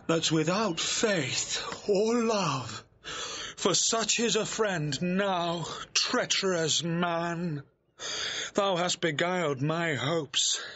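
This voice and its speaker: A very slow, indignant betrayed gentleman. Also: on the high side, breathy edge, full character voice. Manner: declamatory, measured, indignant. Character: betrayed young gentleman, wounded and righteous. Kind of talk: classical Shakespearean audio drama acting. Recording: dull, noisy vintage audio recording.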